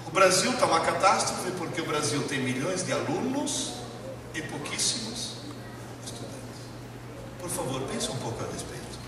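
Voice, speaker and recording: A man's voice: on the high side, big pitch swings, normal speed. Emphatic Italian-accented man lecturing in a hall. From Italy. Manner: emphatic, rhetorical questions, addresses the audience directly. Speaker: professor giving a lecture. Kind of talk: public lecture to a live audience. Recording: echoey hall, distant mic, noisy.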